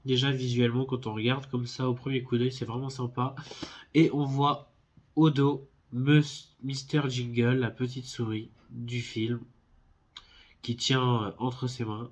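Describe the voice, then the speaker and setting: A man's voice: medium pitch, flat delivery, unhurried. A man with a stuffy head cold casually presenting a collector's box set, hesitant and friendly, with lots of filler sounds. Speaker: film collector YouTuber. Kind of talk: casual unboxing video.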